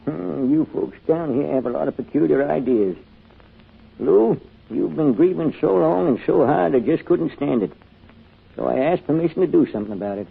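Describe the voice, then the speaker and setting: An actor playing a kindly, patient old grandfather's ghost, folksy and unhurried, in an old-time radio drama. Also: fairly high pitch, expressive, exaggerated voice. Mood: gentle, folksy. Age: older. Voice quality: gravelly, husky. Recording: muffled old radio recording.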